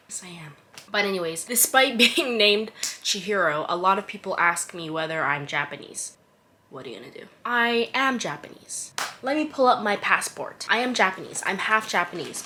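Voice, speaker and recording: A woman's voice: lowish voice, pushed and bright, a little hiss or hum. A woman in her twenties from Toronto, chatting through a casual vlog Q and A, sarcastic and swearing lightly. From Toronto, Canada. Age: 20s. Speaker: vlogger.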